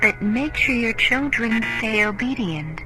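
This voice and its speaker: A woman's voice: fairly high pitch, expressive, measured pace. A woman voicing a sing-song robot-mom ad announcer. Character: cheery female announcer selling robot mothers. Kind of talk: commercial voice-over. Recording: music underneath.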